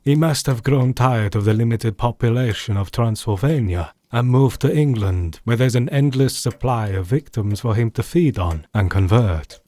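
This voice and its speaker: A man playing a learned old doctor who hunts vampires in an audio drama, solemn, formal and measured. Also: medium pitch, warm tone, no echo, natural voice. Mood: grave.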